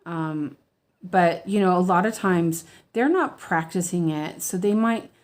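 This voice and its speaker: A woman's voice: fairly low pitch, a little slow. An American woman, a weaving teacher, chatting casually as she stitches, with lots of ums, restarts and easy laughter. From United States. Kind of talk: casual stitch-along chat.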